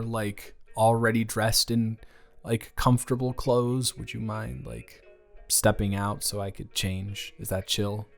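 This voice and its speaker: A young man playing a shy, eager, awkward college dormmate in a romantic audio roleplay, talking in a hesitant, stammering ramble. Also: mid-range voice. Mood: awkward, bashful. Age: young adult. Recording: music playing behind the voice.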